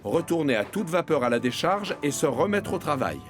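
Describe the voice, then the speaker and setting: A man narrating a children's cartoon in French, in an expressive storytelling manner. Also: a little high, moderate pace. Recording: music bed behind the voice.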